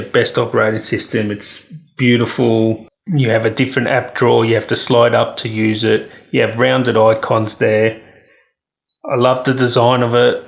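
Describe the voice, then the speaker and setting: Gravelly man giving a casual phone review. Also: medium pitch. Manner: opinionated, candid. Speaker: tech reviewer.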